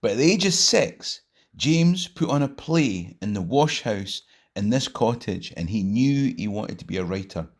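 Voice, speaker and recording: A man's voice: lowish voice, animated, measured pace. A Scottish man presenting as a history tour guide, speaking to camera in a conversational, wry way. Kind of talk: history travel storytelling to camera. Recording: noisy outdoor location, traffic noise.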